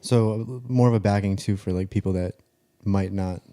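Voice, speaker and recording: A man's voice: fairly low pitch, fairly even intonation, normal speed, mellow. A man hosting a podcast who asks loose, casual questions full of slang. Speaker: podcast host. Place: podcast studio.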